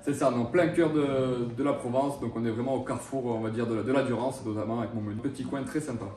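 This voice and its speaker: A man's voice: medium pitch, fairly even intonation, fast talker, a little mellow. A man in his thirties, a chef, answering interview questions with lots of hesitation and restarts. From France. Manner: hesitant, many fillers and restarts. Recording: roomy echo, mic at a distance.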